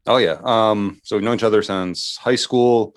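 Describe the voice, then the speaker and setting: A man with a lowish voice talks casually as a musician being interviewed. He recounts things in a loose, conversational way. The sound is very dry, with no room around it. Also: fairly even intonation, normal speed, dry recording. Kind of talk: casual chat, recounting band history.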